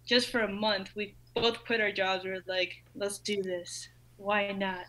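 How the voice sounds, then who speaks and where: A woman's voice: mid-range voice, flat delivery, measured pace, a bit bright, low-quality recording. A woman of thirty telling a story casually and enthusiastically as a podcast guest, in very informal speech. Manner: informal, enthusiastic, quotes own past thoughts. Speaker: podcast guest, business owner.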